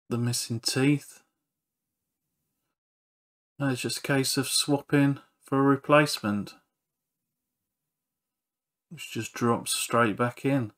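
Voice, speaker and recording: A man narrating a hands-on repair walkthrough in an understated, matter-of-fact way. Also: mid-range voice. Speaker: repair channel presenter.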